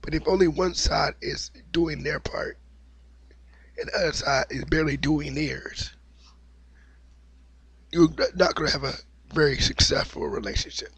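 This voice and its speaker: A man with a cold and a slightly raspy voice, giving an opinionated, repetitive monologue as the host of his own video. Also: fairly high pitch, moderate pace, pauses often, fairly bright tone, dry recording. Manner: opinionated, preachy, repeats himself. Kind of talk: unscripted monologue vlog.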